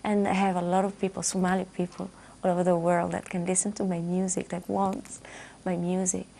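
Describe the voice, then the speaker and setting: Gravelly-voiced woman in a studio interview. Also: fairly low pitch, level tone, a little fast, dark tone, a little hiss or hum. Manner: thoughtful, repeats words while searching for phrasing. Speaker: musician guest. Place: dark television studio, seated.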